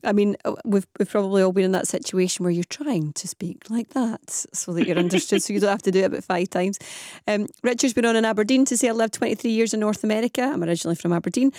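A woman's voice: mid-range voice, fast talker, a little mellow, dry recording, clean studio sound. Woman hosting a radio phone-in. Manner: friendly, asks questions, reads listener messages.

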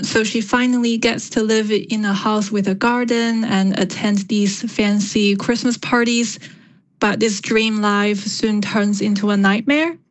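Young female novelist, Chinese first language, thoughtful and hesitant answers. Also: mid-range voice. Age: young adult. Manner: thoughtful, with many fillers. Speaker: novelist guest. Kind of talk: radio interview.

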